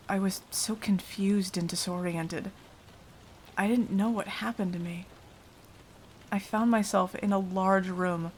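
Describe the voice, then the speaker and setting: A woman's voice: fairly low pitch, a little hiss or hum. A woman playing a frightened, self-sacrificing young victim, telling of a vampire attack as dramatic ASMR roleplay. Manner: hushed, dramatic, deliberate storytelling.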